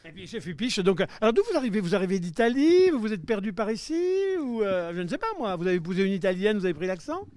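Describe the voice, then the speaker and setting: Playful high-voiced male interviewer. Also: very animated, quick pace, few pauses, darkish tone, dry recording. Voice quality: playful, teasing. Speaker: host. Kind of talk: live street interview. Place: outdoors by the seafront.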